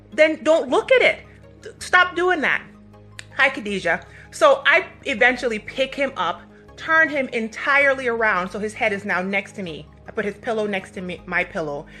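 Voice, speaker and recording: A woman's voice: on the high side, sharp tone. A woman vlogger telling personal stories with a faith message, emphatic and fond of acting out dialogue and asking rhetorical questions. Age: adult parent of a young child. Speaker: vlogger giving a motivational faith talk. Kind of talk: animated personal storytelling with faith encouragement. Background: background music.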